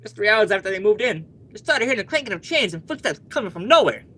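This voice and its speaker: A man's voice: sits high, big pitch swings, fast talker, pushed and bright, full character voice. A male actor playing a brash, stubborn young husband in an old-time radio comedy, emphatic and blustering. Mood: exasperated.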